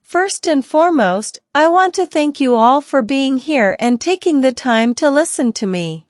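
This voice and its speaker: A woman reading a scripted self-introduction slowly and clearly for learners to repeat. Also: sits high, animated, no echo, clean studio sound. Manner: clear, deliberate, evenly paced delivery. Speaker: English teacher reading a model speech. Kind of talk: slow scripted reading for repetition practice.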